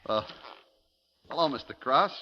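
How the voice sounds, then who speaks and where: An actor playing a nervous, smooth-talking young store clerk, colloquial and wry, in a 1950s radio thriller. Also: male voice, medium pitch, lively intonation, measured pace, slight background noise, close to an everyday voice. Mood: anxious, recounting. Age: young adult. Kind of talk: 1950s radio thriller acting with first-person narration. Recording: dull vintage 1950s radio recording.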